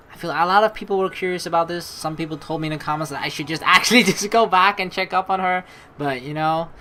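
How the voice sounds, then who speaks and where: Low-voiced woman giving chatty game commentary. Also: a bit bright, a little hiss or hum. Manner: chatty, rambling, earnest. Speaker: let's play host. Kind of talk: casual gameplay commentary mixed with reading game text.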